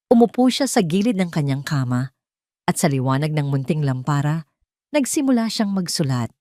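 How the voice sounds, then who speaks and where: A woman's voice: low voice, mellow, no room sound, clean studio sound. A Filipino woman reading a scripted dramatic story as its narrator.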